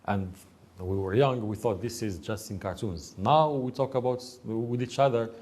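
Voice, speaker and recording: A man's voice: on the low side, moderate pace, darkish tone. A Moroccan man with a Moroccan accent, speaking earnestly as a guest in a studio interview, often restarting his sentences.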